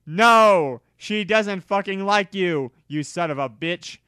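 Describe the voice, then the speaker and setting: A man voicing jealous, foul-mouthed teenage boys in a combative, shouting storybook manner. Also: high voice, takes it slowly, clear, resonant tone, no room sound, clean studio sound, voice pushed up, exaggerated voice. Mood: furious. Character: jealous, foul-mouthed, hot-tempered teenage boy rivals.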